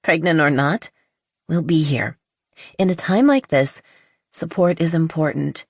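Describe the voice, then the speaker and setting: Woman playing a blunt, husky, skeptical best friend. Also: deep voice, a little slow, darkish tone, dry recording, heavily acted. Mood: supportive. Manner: blunt, dry, teasing. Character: blunt, brutally honest, skeptical, loyal female best friend.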